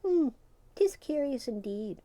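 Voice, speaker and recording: A sly, high-pitched fairy chieftain with an Irish lilt, as a woman reading a storybook puts him on, teasing and lively. Her warm tone stays close to the mic in a dry space. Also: measured pace, no room sound. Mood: puzzled. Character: sly little leader of the wee folk.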